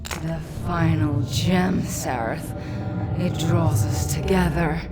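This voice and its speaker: A woman's voice: deep voice, steady tone, slow, loud music behind the voice. A woman acting a seductive, sinister demon guide in a video game, with sultry, teasing delivery. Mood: entranced, seductive.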